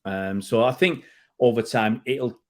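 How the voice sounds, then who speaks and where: A man's voice: medium pitch, unhurried. A middle-aged man from England hosting a casual football chat, chatty and prone to repeating words as he goes. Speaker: fan channel host.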